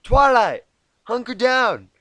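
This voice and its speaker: Male amateur as feisty Southern farm-girl pony, pitched up, drawling, cartoon storybook style. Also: expressive, takes it slowly, a bit bright, dry recording, exaggerated voice. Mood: alarmed, loud.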